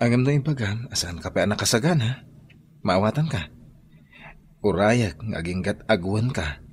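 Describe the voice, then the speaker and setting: An actor playing a patient, earnest young suitor in a radio drama, with an Ilocano accent. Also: male voice, lowish voice, animated, moderate pace, a little hiss or hum, lightly acted.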